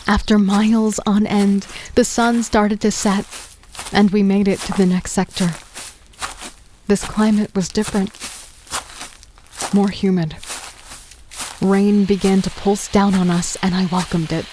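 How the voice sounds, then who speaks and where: A woman narrating an audio drama in the first person, steady, weary and understated. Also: mid-range voice, a little slow, long pauses, slight background noise, low-quality recording. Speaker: narrator and lead. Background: music.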